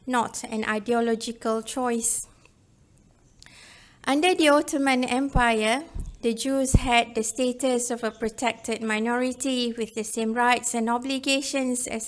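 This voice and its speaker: A woman reading a scripted book review as a narrator. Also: on the high side.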